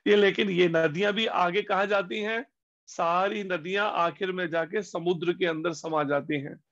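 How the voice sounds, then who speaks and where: High gravelly man giving a sermon. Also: steady tone, fairly bright tone. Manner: slow, deliberate emphasis with pauses on key words; rhetorical questions to listeners. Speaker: spiritual teacher. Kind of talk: devotional discourse. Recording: dull lo-fi recording.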